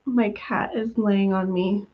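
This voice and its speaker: A woman hosting a casual reading livestream from home, her voice worn from a cold, chatty and relaxed with many ums and soft laughs. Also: medium pitch, takes it slowly. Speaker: livestream host of reading sprints. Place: at home, room with her cat. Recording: dull lo-fi stream audio.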